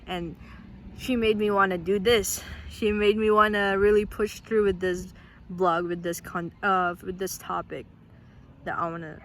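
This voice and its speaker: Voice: mid-range voice, steady tone, a little slow, fairly bright tone. Earnest vlogger, faint traffic behind. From Philippines. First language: Tagalog. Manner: earnest, frequent restarts and repeated words. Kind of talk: casual heartfelt vlog talk.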